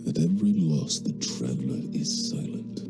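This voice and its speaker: A man playing a grieving, battle-worn commander in a game monologue, with a halting, weighty delivery. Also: low-pitched, lively intonation, gravelly. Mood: anguished grief. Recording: music behind.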